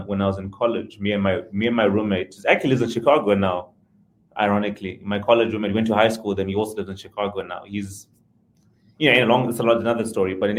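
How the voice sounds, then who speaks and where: Casting: man from Zimbabwe, lowish voice, podcast guest, loose rambling delivery. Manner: rambling, self-correcting, chuckles often. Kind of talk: casual conversational interview.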